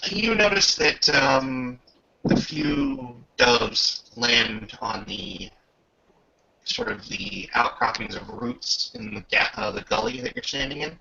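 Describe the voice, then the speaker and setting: A man narrating a tabletop game as game master in a halting way, often pausing mid-sentence, on a dull home microphone. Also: medium pitch, big pitch swings, gravelly, bright, forceful tone, breathy edge.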